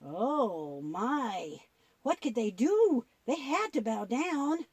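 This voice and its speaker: A woman's voice: fairly high pitch, big pitch swings, extremely slow, a little mellow, husky, no room sound, exaggerated voice. A woman voicing a goofy, curious little boy puppet in a children's puppet show, wide-eyed and full of questions and exclamations. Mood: amazed.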